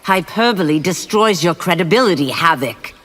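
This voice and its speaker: A woman playing an earnest female warrior who speaks in a measured, reproachful way. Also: fairly low pitch, expressive, a bit bright, in a large hall, faint music behind.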